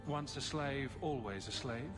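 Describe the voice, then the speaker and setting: An actor playing a wry, sardonic mercenary hero in a video game, flippant about danger and killing. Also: male voice, medium pitch, measured pace, close to an everyday voice. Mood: dryly curious. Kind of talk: dramatic video game voice acting. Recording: game dialogue with music underneath.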